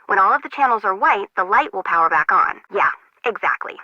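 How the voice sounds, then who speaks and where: Bright, quirky intern over radio. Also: female voice, mid-range voice, lively intonation, a little fast, no room sound. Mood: breezy. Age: young adult. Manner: breezy, slangy, wry. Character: chatty, quirky young woman intern on radio. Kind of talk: casual video game voice acting. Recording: muffled radio-like sound, dry.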